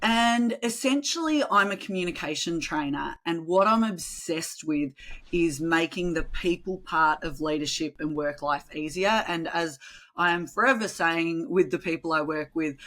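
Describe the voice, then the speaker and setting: An Australian woman talking in a lively, animated way as a guest on a podcast interview. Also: on the low side, moderate pace. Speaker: podcast guest, communication trainer. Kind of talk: casual interview chat.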